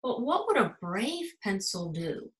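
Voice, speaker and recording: A woman's voice: medium pitch, very animated, a little slow. A woman voicing an eager, brave young pencil schoolgirl in a storybook, musing earnestly. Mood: earnest, wondering. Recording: roomy, echoing sound.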